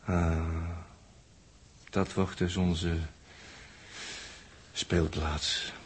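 A man's voice: low voice, little pitch movement, very slow, a little hiss or hum. An actor playing a down-to-earth, wry spaceship crewman with a Netherlands Dutch accent, in colloquial, grumbling 1960s radio drama style. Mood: uneasy. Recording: husky-sounding old 1960s radio recording.

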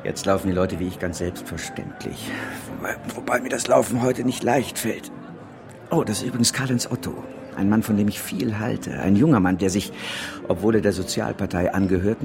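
A low-voiced, pompous actor as a scheming male consultant in a satirical radio play. He grumbles with smug jealousy, and loud music plays underneath. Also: moderate pace, close to an everyday voice.

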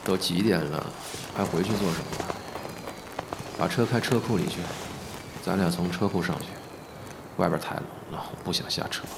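Man playing a low-voiced, languid big-brotherly entertainer. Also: moderate pace, gravelly, pauses often, heavy background noise. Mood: languid, bossy. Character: teasing, big-brotherly male entertainer, tipsy, secretly caring. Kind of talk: Chinese audio drama acting. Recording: music behind the voice.

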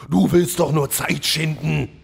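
A man's voice: fairly high pitch, steady tone, a little slow, creaky, husky, heavily acted. A man playing a vain, menacing demon-hunter villain lord, pompous and petulant, in a fantasy parody audio drama.

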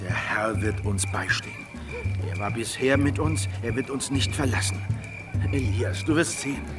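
An actor playing a young, devout and hopeful peasant revolutionary in a German historical radio drama, earnest and measured in narration and dialogue. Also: male voice, mid-range voice, over loud music, close to an everyday voice. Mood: reassuring, faithful.

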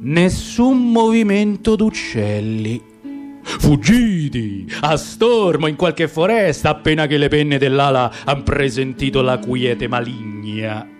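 Male stage reader, fairly high voice, unhurried, lyrical declamation. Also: animated, faint music behind. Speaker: radio theatre performer. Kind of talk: dramatic poetic monologue.

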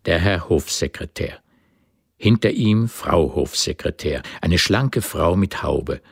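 A man's voice: low voice, lively intonation, takes it slowly, vocal fry, breathy edge, no echo, clean studio sound, heavily acted. A man narrating a literary radio drama in scripted, present-tense prose.

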